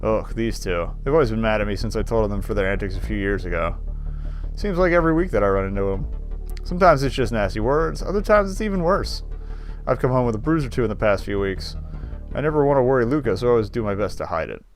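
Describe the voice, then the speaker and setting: A man's voice: lowish voice, lively intonation, quick pace. A man streaming a game, reading visual novel narration aloud expressively with wry casual asides. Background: game music.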